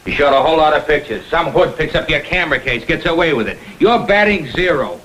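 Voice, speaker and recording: An actor playing a blunt, sardonic, exasperated police detective in a vintage crime drama. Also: male voice, on the high side, lively intonation, fast, a bit bright. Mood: sardonic scolding. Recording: noisy vintage drama soundtrack.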